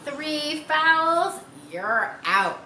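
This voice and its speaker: Storybook schoolgirl woman performing: bossy, emphatic scolder, raised pitch, roomy sound. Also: lively intonation, drawn-out words, sharp tone, full character voice. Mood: triumphant yelling. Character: bossy shouting schoolgirl calling the game. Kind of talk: children's storybook read-aloud. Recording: roomy, distant mic, faint noise.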